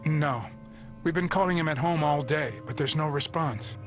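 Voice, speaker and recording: A man's voice: a little high, normal speed, soft background music, lightly acted. An actor playing a worried, businesslike man in game cutscene dialogue, earnest and matter-of-fact. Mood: concerned.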